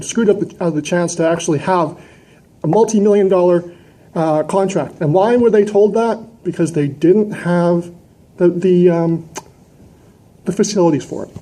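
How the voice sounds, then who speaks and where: A man speaks at a public meeting in a fairly high but soft-edged, dark-toned voice. He is indignant and combative, pressing his points with emphatic rhetorical questions, close on a gooseneck mic. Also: normal speed. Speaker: citizen delegate at a council meeting. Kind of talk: impassioned public address. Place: large indoor council chamber. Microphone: gooseneck microphone close to mouth.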